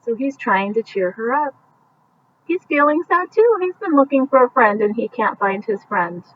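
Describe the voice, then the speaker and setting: A woman reading a picture book aloud at bedtime, gently explaining the pictures with casual asides as if to young children. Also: on the high side, big pitch swings, normal speed. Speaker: storybook reader. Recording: muffled, distant mic with faint background noise.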